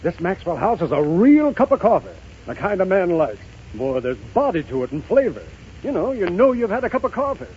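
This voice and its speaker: High-pitched, gushing husband. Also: male voice, a little fast. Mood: enthusiastic. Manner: excited, emphatic. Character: enthusiastic husband praising his coffee. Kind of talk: 1930s radio advertisement acting. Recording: muffled noisy 1930s radio recording.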